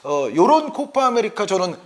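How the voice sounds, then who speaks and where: A man's voice: high voice, very animated, normal speed. A man hosting a football talk show, explaining things in wordy detail to his co-commentator and the viewers.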